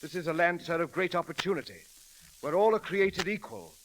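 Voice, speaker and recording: An actor playing a dignified, mild-mannered valet in old radio drama style, speaking politely and hesitantly. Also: male voice, fairly high pitch, expressive, lightly acted. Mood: moved, earnest. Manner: formal, hesitant, polite, halting. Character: deferential, dignified, mild-mannered English gentleman's valet, middle-aged. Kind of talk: 1930s radio acting. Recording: hissy old radio broadcast.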